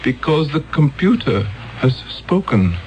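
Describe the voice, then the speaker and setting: An actor playing a solemn, coldly insistent elder in a 1970s radio drama, speaking in measured, commanding phrases. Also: male voice, a little high, big pitch swings, a little mellow, faint music behind, rough recording. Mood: grave, urging. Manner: measured, commanding, deliberate pauses.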